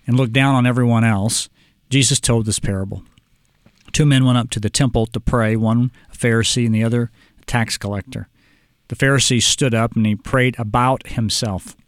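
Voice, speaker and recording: A man from Oklahoma hosting a Bible study discussion, speaking in a measured way and reading scripture aloud. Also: mid-range voice, fairly even intonation, dry recording, clean studio sound. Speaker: podcast host, Bible teacher.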